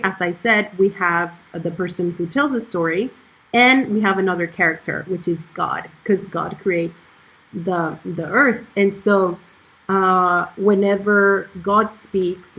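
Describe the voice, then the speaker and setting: A woman's voice: on the low side, low-quality recording. A woman casually walking through her dubbing work on screen, hesitant and full of ums and restarts. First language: not Icelandic. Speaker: dubbing producer. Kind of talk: casual screen-share walkthrough.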